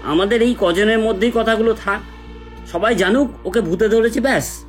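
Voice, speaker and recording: High-pitched, insistent young village man, acted. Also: moderate pace, faint music behind, lightly acted. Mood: urgent, insistent. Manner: urgent, hushing, insistent. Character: determined young village man, protective lover. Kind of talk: horror audio drama acting.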